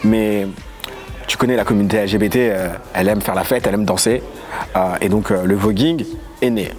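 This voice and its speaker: A young man from Paris with a rather low voice, chatting as an interview guest. He talks in a playful, lively way, tossing in quick asides. Faint music sits under him. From Paris, of Congolese origin. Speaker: guest, voguing dancer and house leader. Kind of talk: casual interview chat.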